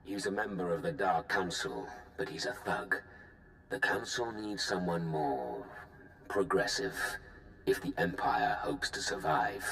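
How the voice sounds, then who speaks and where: An actor playing a ruthless, scheming Sith lord who talks in a measured, blunt, commanding way. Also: male voice, deep voice, lively intonation, vocal fry, fairly bright tone, echoey room, exaggerated voice, poor audio. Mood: cold, calculating. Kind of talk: video game cutscene acting.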